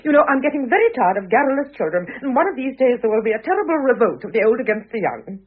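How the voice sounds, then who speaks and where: Over a thin, phone-like old radio recording comes a dignified middle-aged British mother, weary but sharp-tongued. Her voice swings expressively and has a slightly husky grain, in the manner of a vintage radio play. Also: fairly high pitch, very animated, fast talker. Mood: exasperated.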